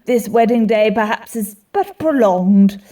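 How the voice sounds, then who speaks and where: A woman playing a calm, compassionate friar in a Shakespearean radio play, giving measured, solemn and persuasive counsel. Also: a little high. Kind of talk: Shakespearean radio drama acting. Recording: home recording, slightly distant mic.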